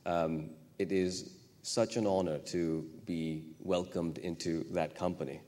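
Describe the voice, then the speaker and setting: A man from Pakistan, a novelist giving an unhurried, thoughtful acceptance speech at an award ceremony in a large hall. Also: low voice, fairly even intonation, dark tone. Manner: thoughtful, unhurried, speaking off the cuff. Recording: echoey hall reverb.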